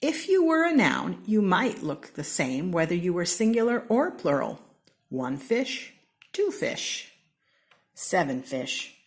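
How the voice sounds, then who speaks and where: Slow, animated American woman reading aloud. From Seattle, Washington. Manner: slow, expressive read-aloud with lively pitch swings. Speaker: storybook reader. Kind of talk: reading a children's picture book aloud.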